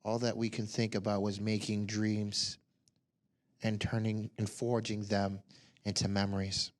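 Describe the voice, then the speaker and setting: A man reciting spoken word poetry in a measured, solemn way. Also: lowish voice, little pitch movement. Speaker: poet.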